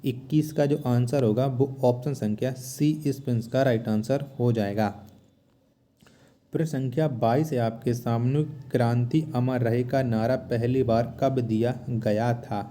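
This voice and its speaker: A man's voice: medium pitch, steady tone, unhurried, warm tone, slight background noise. A man teaching a lesson, reading out quiz questions, asking them again and restating each answer. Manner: repetitive, asks then restates answers. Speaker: exam-prep teacher.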